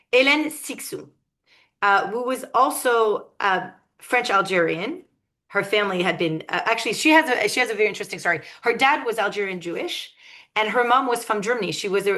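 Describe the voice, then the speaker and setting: A woman giving an academic lecture, thoughtful and often pausing to search for her words. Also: mid-range voice, fairly bright tone.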